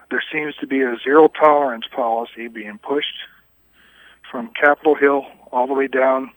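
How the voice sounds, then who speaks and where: A man answering interview questions on a recorded telephone call, candid, with halting yet emphatic phrasing. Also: medium pitch, a bit bright, dry recording. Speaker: union vice president, anonymous interviewee.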